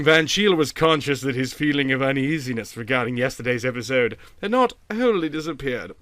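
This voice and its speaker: A man narrating a literary story from a script in a dramatic, expressive storytelling manner. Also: mid-range voice, no room sound. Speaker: audiobook narrator.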